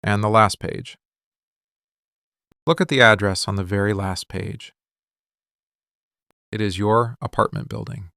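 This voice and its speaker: A man acts out a dangerous, obsessive mafia boss for a boyfriend ASMR roleplay. His low voice crackles with gravel and stays in a narrow, controlled range. He speaks slowly and deliberately, commanding and possessive, with an intense air of menace. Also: steady tone, bright, forceful tone, no room sound, studio quality. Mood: controlling, intense. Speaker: ASMR voice actor.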